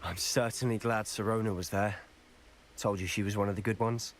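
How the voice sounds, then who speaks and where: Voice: mid-range voice, steady tone, brisk, natural voice. An actor playing a curious, level-headed young student at a wizard school in a video game, earnest and articulate. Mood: practical, friendly.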